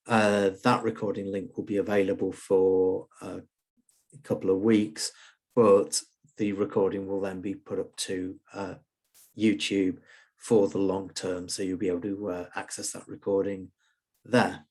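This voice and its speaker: A man hosts an online user group meetup over a video call, running through announcements casually. His voice sits somewhat low. He talks in a halting way, with frequent pauses and restarts. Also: level tone, darkish tone. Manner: casual, hesitant, many fillers and restarts. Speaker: user group organiser and host.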